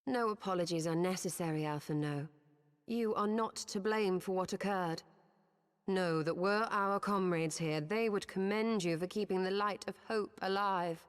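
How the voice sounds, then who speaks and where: An actress playing a composed, scholarly sorceress in a fantasy game, dignified and wry, with poised, archaic formal diction. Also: female voice, mid-range voice, normal speed, clear, resonant tone, natural voice. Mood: reassuring. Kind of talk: scripted fantasy game acting. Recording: long hall-like reverb.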